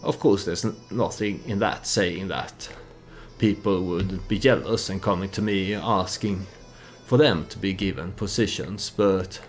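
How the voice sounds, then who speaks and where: A man who is not a native English speaker gives casual gameplay commentary with storytelling, in a hesitant, meandering manner. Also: deep voice. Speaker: let's play host.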